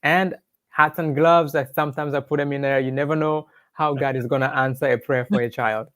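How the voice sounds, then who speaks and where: A man's voice: medium pitch, fairly even intonation, brisk, fairly bright tone, no echo. Guy with a rwandan accent telling his life story in an interview, earnest and devout, close dry sound. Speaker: interview guest sharing testimony. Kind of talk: personal testimony in an interview.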